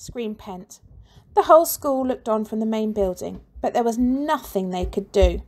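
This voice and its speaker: A woman teacher reading a children's storybook aloud with lively expression and playful sound effects. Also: a little high, moderate pace.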